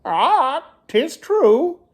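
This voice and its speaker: High squawking pirate parrot. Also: male voice, very animated, extremely slow, exaggerated voice. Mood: scornful.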